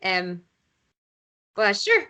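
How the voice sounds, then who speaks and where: A woman's voice: mid-range voice, sing-song, extremely slow, pushed and bright. A woman in casual chat, speaking as the writer hosting a table read.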